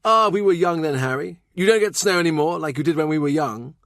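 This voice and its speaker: A man's voice: fairly high pitch, brisk, fairly bright tone, dry recording, clean studio sound, voice pushed up. A man voicing a blustering, nostalgic nobleman in an audiobook, with a blunt, emphatic way of talking. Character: blustering, nostalgic nobleman, old friend of the king.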